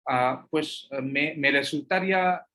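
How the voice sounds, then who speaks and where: A man whose first language is Hindi, answering audience questions as a guest lecturer, halting often with fillers and restarts. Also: a little high, bright, forceful tone.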